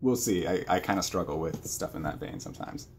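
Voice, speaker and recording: A man talking casually about books as a channel co-host, in a hesitant, self-correcting way with a husky voice. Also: low voice, expressive, fairly quick, slight background noise. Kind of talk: casual book chat.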